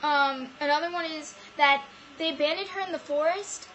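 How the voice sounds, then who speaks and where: A young woman casually retelling fairy tales in a comic, animated and slangy way, as a co-host of an amateur video. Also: sits high, normal speed, lo-fi sound, slight background noise. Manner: animated slangy storytelling with mock quotes. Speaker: vlog co-host.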